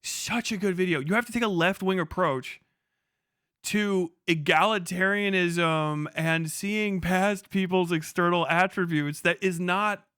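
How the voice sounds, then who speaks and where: A man's voice: sits high, measured pace, pushed and bright, clean tone, no room sound, studio quality. A man hosting a livestream, giving casual, unscripted and opinionated commentary with emphatic delivery and plenty of swearing. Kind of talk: casual unscripted political commentary, reacting to video clips.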